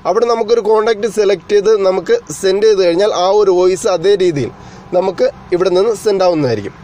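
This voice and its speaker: A man's voice: high-pitched, fast, warm tone. A man narrating an explanatory tech tutorial as its host, in a formal, wordy way that addresses viewers directly.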